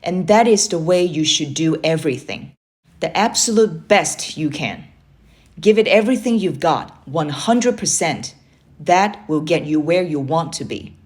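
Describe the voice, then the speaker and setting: A woman's voice: low-pitched, animated, a little slow, a little mellow. A stern, challenging uncle from a children's storybook, read by a woman. He is curt and commanding, a tough military man who demands full effort. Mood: firm, demanding. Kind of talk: children's storybook character reading.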